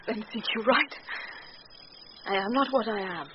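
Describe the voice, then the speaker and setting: A woman's voice: medium pitch, unhurried, lightly acted. A British woman playing a witty, courteous heroine disguised as a young male page, speaking formal Shakespearean verse in a radio drama. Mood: guarded. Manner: formal, poised verse delivery. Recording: muffled 1990s radio broadcast, clear noise.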